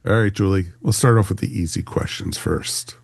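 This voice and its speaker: Male podcast host, low-pitched and chatty, folksy humor, close dry sound. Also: moderate pace, vocal fry, a little mellow, slightly rough, no room sound. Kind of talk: casual podcast hosting.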